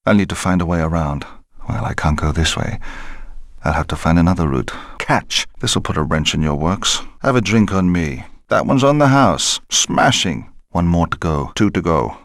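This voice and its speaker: A man's voice: low-pitched, lively intonation, moderate pace, creaky, no echo. An actor playing a suave, unflappable secret agent in a video game, dry and wry in his delivery. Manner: dry, quipping understatement.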